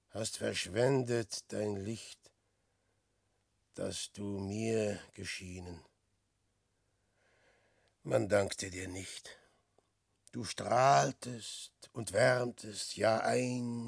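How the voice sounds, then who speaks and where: An actor in a classic German radio play, playing an aging, despairing wanderer who speaks slow, declamatory verse. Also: male voice, fairly low pitch, gravelly, husky, dry recording, studio quality, exaggerated voice. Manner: slow, declamatory verse, swinging from loud outbursts to hushed murmurs. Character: Peer, an aging despairing wanderer facing death.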